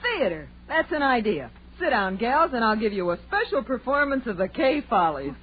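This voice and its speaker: Brash, wisecracking young woman, animated. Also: on the high side, normal speed. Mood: playful. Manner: slangy, flippant, scoffing. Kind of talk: old-time radio drama acting. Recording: tinny old radio recording with hiss.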